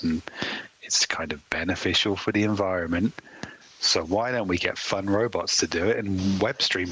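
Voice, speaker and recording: A man co-hosting a podcast in loose comedic chat. His voice sits low with a husky grain and a bright, forward tone. He spins out absurd ideas and laughs along as he goes. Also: low-quality recording. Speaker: podcast co-host.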